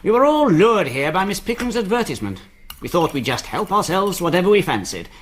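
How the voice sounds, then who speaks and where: An actor playing a disgraced English solicitor turned forger, urbane and fussy, in a radio drama. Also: male voice, high voice, sing-song, fast talker, a little hiss or hum. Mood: smug. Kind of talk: British radio drama acting.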